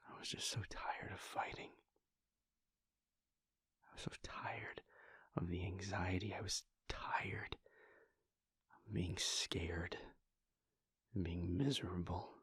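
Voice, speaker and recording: Guy doing a soft vampire prince, whispering low and raspy, really slow and flat. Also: no room sound, heavily acted. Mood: tender, hushed. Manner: slow, halting, heartfelt confessions. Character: tender weary male vampire prince, devoted. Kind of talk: whispered audio roleplay.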